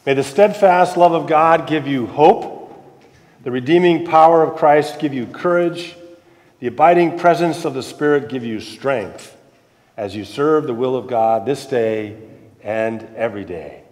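A man leading church announcements and giving the blessing in a relaxed, friendly way. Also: mid-range voice, measured pace, roomy sound, in a large hall. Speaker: pastor. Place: church sanctuary.

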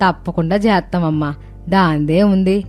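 A woman's voice: fairly low pitch, normal speed, darkish tone, close to an everyday voice. Woman acting rustic older village mother-in-law. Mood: supportive. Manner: rustic colloquial village speech. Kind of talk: animated moral-story voice acting. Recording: music bed under the voice.

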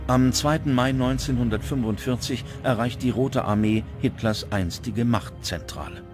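A man reading scripted documentary narration in a measured, dramatic way, recorded as a studio voice-over. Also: mid-range voice, over music.

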